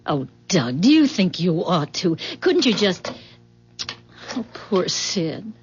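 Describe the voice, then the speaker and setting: Concerned wife, very expressive. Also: female voice, fairly low pitch, takes it slowly, faint music behind. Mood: worried. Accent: American. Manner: expressive, hesitant, questioning. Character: concerned, inquisitive, soft-hearted wife. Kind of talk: 1970s radio drama acting. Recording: muffled vintage radio broadcast.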